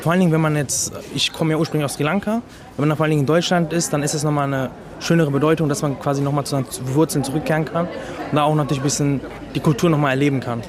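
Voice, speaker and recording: Twenties man, quick and chatty interviewee; loud crowd backdrop. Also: a little high, steady tone. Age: 20s. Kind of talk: casual interview answer. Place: outdoors at a crowded temple festival. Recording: crowd noise behind.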